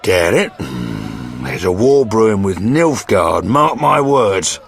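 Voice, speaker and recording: A man's voice: low voice, big pitch swings, slow, vocal fry, full character voice. A man voicing a crude, boastful yet sentimental mercenary sergeant in a fantasy video game, with blustering theatrical delivery. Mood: gruff boasting.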